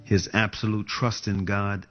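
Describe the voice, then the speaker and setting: A man doing a slow, measured voice-over reading of formal quotations. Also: fairly low pitch, little pitch movement. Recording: dull lo-fi audio. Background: faint music.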